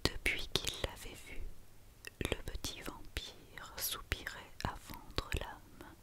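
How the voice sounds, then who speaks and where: A woman reads a children's story entirely in a whisper, in ASMR style. Her delivery is very slow, gentle and soothing, with a breathy, bright edge to every word.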